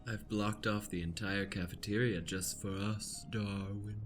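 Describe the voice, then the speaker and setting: A man's voice: on the low side, steady tone, unhurried, soft background music, natural voice. A man playing a coy, haughty, scheming lady on a date in an improvised radio play. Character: Matilda, scheming seductive wealthy lady on a date.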